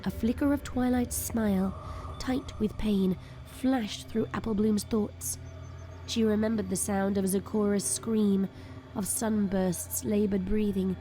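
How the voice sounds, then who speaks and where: A woman's voice: medium pitch, mellow. A woman reading scripted third-person story narration as the narrator of an audio drama.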